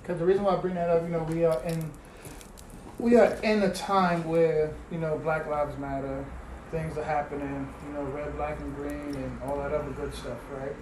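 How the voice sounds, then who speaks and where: A man's voice: fairly high pitch, little pitch movement, moderate pace, darkish tone. A man co-hosting a casual discussion, asking probing questions and explaining his view at length. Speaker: podcast co-host. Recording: echoey room with audible noise.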